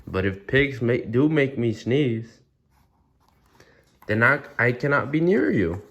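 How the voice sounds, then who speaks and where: A man voicing an anxious, melodramatic elephant from a storybook, halting and wailing. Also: medium pitch, big pitch swings, vocal fry, a bit bright, lo-fi sound, slight background noise, heavily acted. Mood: panicked, dramatic. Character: anxious, dramatic male cartoon elephant, sneezy and distraught.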